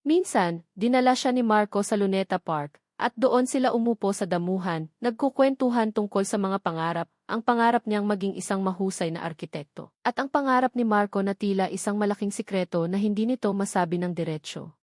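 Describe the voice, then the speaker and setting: Female Filipino narrator for dramatic romance audio story, dry recording, average pitch. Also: brisk, hardly any pauses, darkish tone, dry recording.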